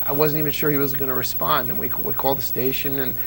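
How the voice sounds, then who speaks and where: A man's voice: medium pitch, flat delivery, quick pace, vocal fry, fairly bright tone, slight background noise, low-quality recording. A middle-aged American man being interviewed as a radio fan, telling stories conversationally and repeating phrases for emphasis. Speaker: interview guest, old-time radio fan. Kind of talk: animated conversational storytelling.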